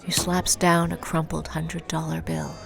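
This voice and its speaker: A grave, brooding storyteller narrates an audio drama in a lowish female voice. The scripted lines are dramatic, with clear music underneath. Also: little pitch movement, measured pace, heavily acted. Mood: somber, measured.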